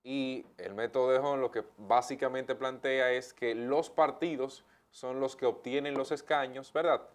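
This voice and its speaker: A man's voice: medium pitch, fairly even intonation, measured pace. A man with a Dominican accent interviewing on a political show, explaining context before putting his question. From Dominican Republic.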